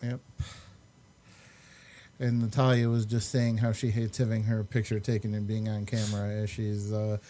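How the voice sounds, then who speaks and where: A man hosting a podcast in a casual, chatty way, with halting and hesitant speech. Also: fairly low pitch, monotone, warm tone. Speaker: podcast host. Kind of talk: casual chat.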